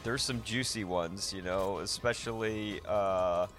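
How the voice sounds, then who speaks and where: A man hosting a podcast, casually discussing films in a rambling, halting way that often interrupts itself. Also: fairly low pitch, fairly even intonation, fairly bright tone, faint music behind. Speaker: podcast host.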